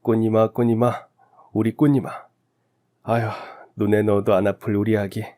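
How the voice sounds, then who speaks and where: A man's voice: lowish voice, flat delivery, normal speed, warm tone, lightly acted. A man voicing a devoted, affectionate young husband and doting father in a radio story drama.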